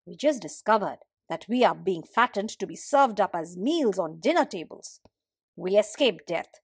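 A woman's voice: mid-range voice, expressive, moderate pace, creaky, breathy edge, dry recording, studio quality. A woman voicing a companionable, practical pig in a folktale, blunt and emphatic. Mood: practical, challenging.

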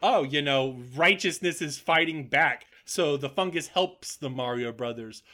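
A man co-hosting a podcast, discussing films casually and haltingly as he thinks aloud. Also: fairly high pitch, sharp tone. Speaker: podcast co-host.

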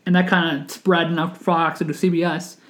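A young man in his twenties with a notably high voice, hosting a casual podcast chat. He talks earnestly and rambles, doubling back and restarting his sentences as he goes. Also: flat delivery, brisk, a bit bright. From Wisconsin, United States. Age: 20s. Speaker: podcast host.

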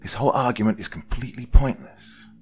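A man's voice: low-pitched, normal speed, slight background noise, close to an everyday voice. A man playing a blunt, impatient, calculating woman who explains matter-of-factly in a story.

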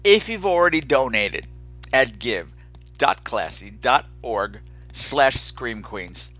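A man's voice: mid-range voice, lively intonation, slow, sharp tone. A man hosting a podcast solo in a casual, chatty, campy and playfully dramatic way, rambling and self-deprecating. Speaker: podcast host.

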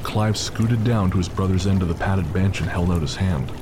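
A man narrating an audio drama in a measured, somber way. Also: low-pitched, background noise. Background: music.

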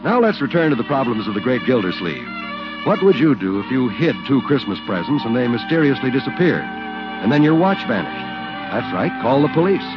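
A man's voice: medium pitch, big pitch swings, moderate pace. A man announcing on 1940s radio in a polished, persuasive scripted sales style. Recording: muffled 1940s radio recording, music underneath.

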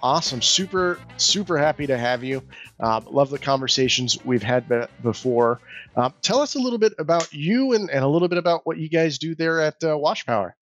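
A man's voice: a little high, animated, fairly quick, a bit bright, soft background music. A man hosting a podcast, chatting informally and easily as he asks questions. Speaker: podcast host. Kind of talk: casual interview chat.

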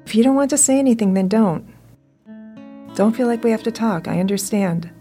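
A woman's voice: on the high side, lively intonation, brisk, mellow, soft background music, natural voice. An actress playing a protective, earnest woman survivor who reassures her companion in an audio drama. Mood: gentle, firm.

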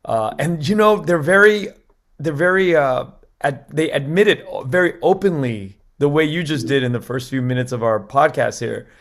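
Halting man hosting a podcast. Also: a little high, fairly bright tone. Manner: halting, restarts a lot. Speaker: podcast host. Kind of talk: casual interview questions.

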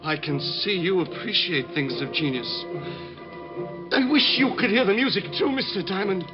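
An actor playing a deranged, rapturous bomber who sees himself as an artist, in a dreamy, halting, grandiose radio-drama manner. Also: male voice, high voice, lightly acted. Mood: proud, rapturous. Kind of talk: old-time radio drama acting. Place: radio studio. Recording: muffled vintage radio recording, music behind. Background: music.

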